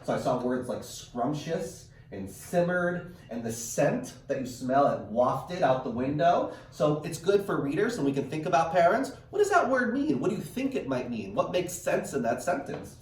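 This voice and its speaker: A man's voice: fairly high pitch, sing-song, moderate pace, hardly any pauses. A man teaching through a virtual read-aloud, chatting encouragingly and expressively with children and parents as he presents a picture book. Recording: roomy, distant mic with faint noise.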